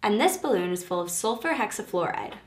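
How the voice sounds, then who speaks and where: A woman in her twenties giving a scripted educational explanation as a science presenter, in a measured demonstration delivery. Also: medium pitch, very animated. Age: 20s. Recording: echoey room with background noise.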